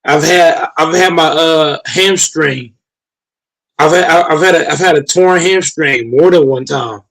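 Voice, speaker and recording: A man in his late twenties holds forth in loose, crude talk, repeating himself and stumbling over restarts as he builds a point up. His voice sits a little high for a man. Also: a little slow, vocal fry, a bit bright, low-quality recording. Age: late 20s. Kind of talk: casual profane chat.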